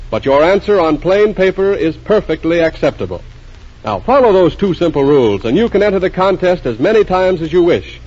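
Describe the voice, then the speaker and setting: Higher-voiced 1940s radio announcer, man. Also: darkish tone. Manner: formal, emphatic. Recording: muffled old radio broadcast.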